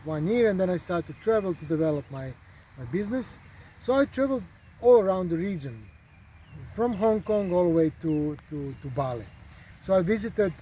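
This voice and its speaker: Man, high, soft-toned, halting casual delivery. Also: slight background noise. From Croatia. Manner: hesitant, many fillers and repeated words. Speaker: traveler. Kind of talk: casual travel talk. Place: outdoors at a golf resort.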